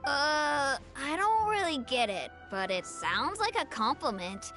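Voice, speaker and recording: A woman voicing an eager, innocent little boy in a video game, with an excitable, childlike manner. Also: sits high, unhurried, soft background music, exaggerated voice. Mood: puzzled, eager. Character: eager, innocent little boy who idolises his uncle.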